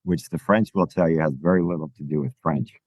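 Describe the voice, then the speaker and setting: A man hosting a podcast, interviewing a guest in a lively, joking way with halting restarts. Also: deep voice, gravelly, no echo. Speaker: podcast host. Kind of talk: conversational interview.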